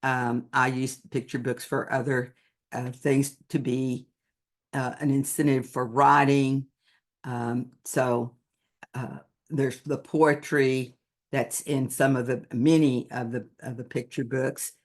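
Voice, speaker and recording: A woman presents to teachers over a computer microphone, in the unhurried manner of someone thinking as she goes. She speaks at a slow pace and keeps her delivery measured and explanatory. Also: very deep voice, flat delivery. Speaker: picture book author presenting to teachers. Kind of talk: online presentation to educators.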